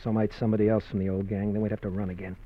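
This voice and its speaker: An actor playing a troubled, guarded husband running from a criminal past in an old-time radio drama. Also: male voice, lowish voice, flat delivery, racing, lightly acted. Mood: desperate, weary. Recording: muffled old radio show recording.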